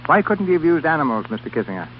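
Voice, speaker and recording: A man's voice: on the high side, very animated, racing. Formal, dramatic vintage radio host, muffled man. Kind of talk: 1930s radio scripted narration. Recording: dull, noisy vintage radio broadcast.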